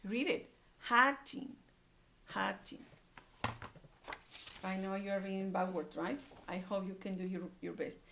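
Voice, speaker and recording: A woman's voice: medium pitch, fairly even intonation, slow pace, gravelly, long pauses, bright, forceful tone, a little hiss or hum. A woman teacher reading aloud to young children, using simple words, repetition and questions. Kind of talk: animated read-aloud lesson.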